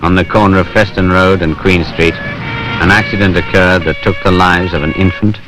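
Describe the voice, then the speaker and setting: A man's voice: low-pitched, fairly even intonation, moderate pace. A British man reading plain-spoken, matter-of-fact, colloquial narration for a training film. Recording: noisy 1970s film soundtrack.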